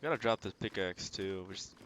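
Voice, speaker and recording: A man's voice: deep voice, fairly quick, background noise. A man giving casual live commentary on a video game, thinking aloud as he decides what to do. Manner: talks through decisions as if thinking aloud.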